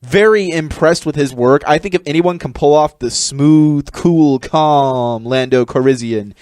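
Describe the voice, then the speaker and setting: A man's voice: on the high side, a little slow, darkish tone, no echo. A man talking casually and bluntly as an opinionated podcast co-host, giving entertainment commentary on a close microphone.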